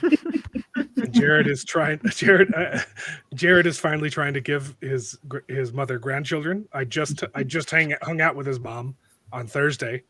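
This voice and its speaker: A man hosts a casual podcast in a hoarse voice, worn down by a cold. He rambles, restarts his sentences and jokes at his own expense. His voice is a bit high for a man and sounds dry and close. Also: unhurried, sharp tone, no echo. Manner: rambling, with restarts and self-deprecating asides. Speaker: podcast host.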